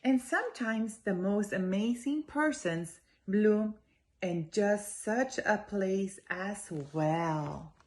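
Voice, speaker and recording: A woman's voice: mid-range voice, takes it slowly, slight background noise. Woman reading expressively to young children. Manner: expressive, emphatic, addresses young listeners directly. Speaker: judge reading a children's story. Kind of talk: storytime read-aloud to young children.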